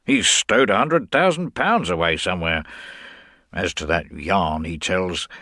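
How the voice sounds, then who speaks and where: A man's voice: deep voice, lively intonation, unhurried, bright, forceful tone, no echo, studio quality, full character voice. A man playing a brusque, sceptical police inspector in a detective radio drama, blunt and emphatic. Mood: dismissive.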